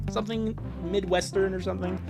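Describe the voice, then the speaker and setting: A man's voice: sits high, level tone, a little fast, vocal fry, over music. A man chatting casually on a live stream, sarcastic and prone to swearing. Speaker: streamer reading fan fiction aloud.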